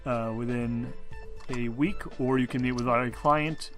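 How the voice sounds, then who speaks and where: Man acting out a courteous male lawyer, businesslike, formal, bright mid voice, tabletop game, faint music. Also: medium pitch, fairly even intonation, unhurried, natural voice. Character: polite, formal male lawyer serving legal notice.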